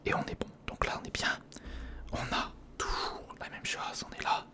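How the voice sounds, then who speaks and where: An ASMR host whispering breathily and close to the mic, thinking aloud to the listener through a step-by-step build. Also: normal speed. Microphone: close recorder or studio condenser microphone.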